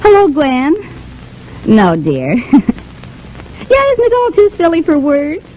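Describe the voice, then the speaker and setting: Lively, take-charge radio soap heroine. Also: female voice, sits high, faint music behind, full character voice. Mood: breezy, bluffing. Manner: brisk, chatty, takes charge. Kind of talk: 1930s-40s radio soap opera acting. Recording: muffled, hissy vintage radio recording.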